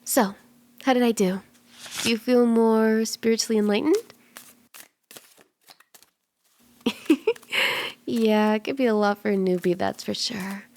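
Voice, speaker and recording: A woman voicing a flirty, soothing kitsune fortune teller in an ASMR roleplay, speaking gently and coaxingly, at times in breathy whispers. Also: fairly high pitch, moderate pace, long pauses, close to an everyday voice. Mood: playful, warm. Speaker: tarot reader.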